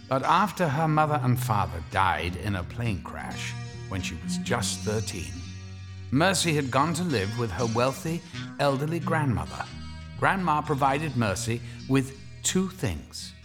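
A man playing a wry horror storyteller, narrating theatrically with savouring, deliberate pauses. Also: mid-range voice, fairly bright tone. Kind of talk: scripted dramatic story narration. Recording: music under the narration.

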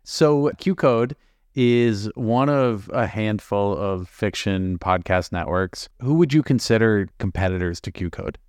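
Clear, low-voiced male interviewer. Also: a little slow, a little mellow, no echo, clean studio sound. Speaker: interviewer, podcast host. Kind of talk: conversational interview.

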